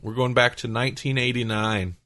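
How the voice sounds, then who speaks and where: A man's voice: medium pitch, fairly even intonation, a little fast, bright, forceful tone. A man hosting a podcast, talking casually and conversationally with his co-hosts. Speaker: podcast host.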